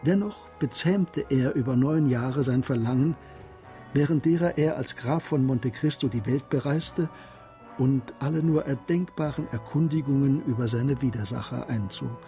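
A man narrating a historical radio drama as a composed storyteller, in a measured, literary manner. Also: mid-range voice, mellow, soft background music, lightly acted. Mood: grave, measured.

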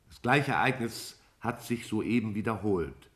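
A man playing a vast alien cloud intelligence in a 1950s German radio play, speaking in a formal, measured, didactic way. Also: lowish voice, lively intonation, a bit bright. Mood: solemn, pondering.

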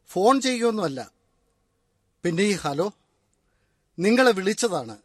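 A bodiless conscience, speaks in a raised, unhurried voice with a calm, reassuring manner. The studio sound is dry and close. It suits an old-fashioned radio play. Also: male voice, high voice, dry recording, full character voice. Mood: soothing. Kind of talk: Malayalam radio drama acting.

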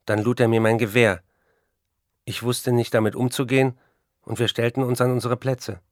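Radio drama: male actor as intense, troubled writer, mid-pitched, measured confessional delivery, dry close sound. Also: little pitch movement, no echo, lightly acted. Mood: haunted recollection. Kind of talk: radio play monologue, literary narration.

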